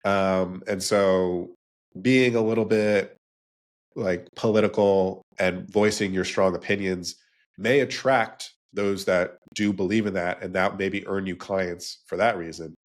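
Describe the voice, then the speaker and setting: A man's voice: low-pitched, fairly even intonation, a little slow. A man with a cold, a bit nasal, speaking casually as a podcast guest, explaining his branding business in long rambling runs. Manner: rambling, restarts, lists in threes. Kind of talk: casual interview talk.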